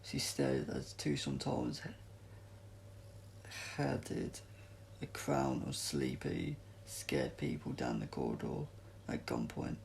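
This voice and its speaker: A man with a very creaky, gravelly voice narrates a children's adventure story. He reads at a middle pitch and sometimes trips over words and corrects himself. There is faint noise behind him. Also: huge pitch swings, slow pace, a little mellow. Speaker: audiobook reader. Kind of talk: storybook narration read aloud.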